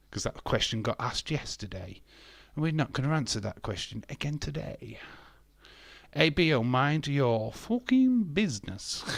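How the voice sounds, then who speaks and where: A man in his fifties chats loosely at middle pitch, reading viewer comments and firing back blunt, sarcastic answers. He swears without a second thought. His voice sounds close and dry, with no room around it. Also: creaky, no room sound. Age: 50s. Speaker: live stream host. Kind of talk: casual chat reading live comments.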